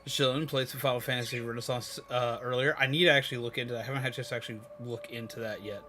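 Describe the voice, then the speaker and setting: A man's voice: medium pitch, steady tone, very fast, sharp tone, a little hiss or hum. A man hosting a casual livestream, chatting to viewers in a playful, theatrical way with exaggerated emphasis. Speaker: livestream host. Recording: music playing behind the voice. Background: background music.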